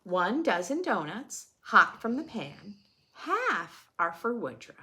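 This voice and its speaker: A woman's voice: medium pitch, sing-song, slow, sharp tone. A woman hosting a read-aloud for preschoolers, expressively reading a picture book and adding simple teaching questions and explanations in a dramatic way.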